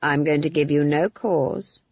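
Storybook old witch woman, played by a narrator: dry, commanding, unhurried. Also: medium pitch, fairly even intonation, darkish tone, dry recording, dull audio, close to an everyday voice. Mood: quietly stern. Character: brisk, blunt, stern old witch woman.

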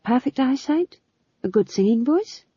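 A woman's voice: high voice, expressive, measured pace, mellow, husky, dry recording, lo-fi sound, pitched above the performer's own voice, exaggerated voice. A woman voicing an earnest, prim, idealistic younger witch with brittle politeness in an audiobook. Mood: earnest, hopeful. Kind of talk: audiobook character voice.

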